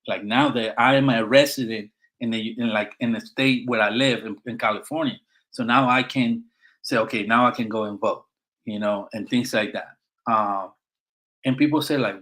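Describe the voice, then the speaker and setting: A man's voice: medium pitch, steady tone, normal speed, fairly bright tone. A middle-aged man with a Puerto Rican accent, a Marine veteran guest speaking earnestly in a conversational interview, with frequent fillers and restarts. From Puerto Rico.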